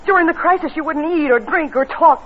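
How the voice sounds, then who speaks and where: An actress playing a bitter, accusing gangster's widow in 1940s radio melodrama style. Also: female voice, high voice, a little fast, lightly acted. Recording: muffled, noisy old radio recording.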